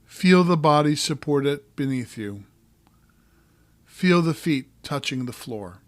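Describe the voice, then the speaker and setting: A man narrating a guided meditation as a coach, giving calm, gentle instructions in short, measured phrases. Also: a little high, no echo.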